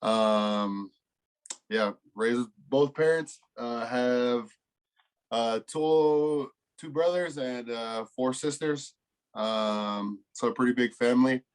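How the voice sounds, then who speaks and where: Young American man, medium-pitched, laid-back. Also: takes it slowly, clear, resonant tone, poor audio. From California, United States. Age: 20s. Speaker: college football player, interview guest. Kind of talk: casual interview answers.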